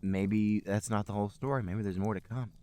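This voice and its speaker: A man's voice: lowish voice, fairly even intonation, brisk, mellow, no echo. A man hosting a podcast, reading fan fiction aloud for comedy. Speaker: podcast host and reader.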